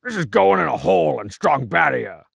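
An actor playing a cocky, boastful, juvenile cartoon villain for a comedy video game, with a raspy growl and mocking, slangy tough-guy delivery. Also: on the high side, sing-song, moderate pace, dry recording, exaggerated voice. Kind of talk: exaggerated comic video game character acting.

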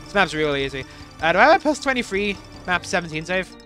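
Bright-voiced rambling young man. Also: sits high, big pitch swings, fast, faint music behind. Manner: rambling, self-deprecating, many fillers and restarts. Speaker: level designer commenting on his own maps. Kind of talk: casual gameplay commentary.

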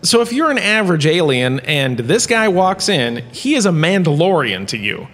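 In long hall reverb, a man acts out a vain professor of galactic history in a comic audio lecture. The voice sits a little high and swings widely in pitch, with showy, pompous delivery. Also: big pitch swings, normal speed, fairly bright tone, full character voice. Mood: lecturing.

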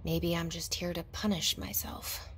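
An actress playing a weary, skeptical sister in a video game, muttering dry, sardonic inner thoughts. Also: female voice, on the low side, level tone, unhurried, a little hiss or hum, lightly acted. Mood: weary, defiant.

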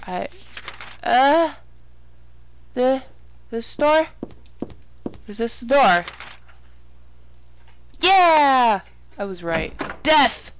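A woman's voice: sits high, lively intonation, very slow, long pauses, slight background noise. A woman giving casual horror game commentary, exclaiming, swearing and muttering asides to herself. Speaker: let's play gamer.

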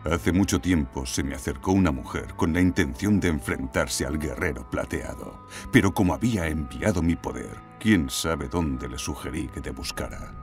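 An extremely deep-voiced ancient warrior, played by a man, grave and portentous, as in a cartoon. Music sits behind his stately lines. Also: moderate pace, hardly any pauses. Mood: grave, measured. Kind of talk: cartoon fandub acting.

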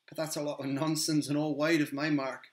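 Loyal humble hobbit servant, eager and rustic, pitched up, voiced by a man, roomy echo. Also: little pitch movement, fairly quick, close to an everyday voice. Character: humble cheerful male hobbit gardener, loyal.

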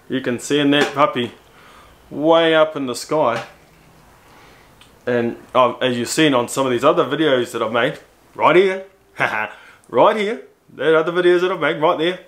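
A man in his forties with a New Zealand accent, chatting casually to camera about his gear, repeating himself and jumping up in pitch when he shows things, in a room at home on a camera-mounted mic. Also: expressive, measured pace, long pauses, fairly bright tone. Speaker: motorcycle vlogger. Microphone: camera-mounted shotgun mic.

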